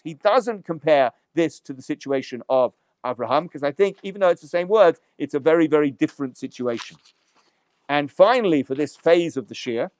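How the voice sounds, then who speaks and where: A rabbi teaching a Torah class, explaining a text step by step with rhetorical questions and dry asides. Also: male voice, on the high side, darkish tone, dry recording. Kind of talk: lecture-style text study.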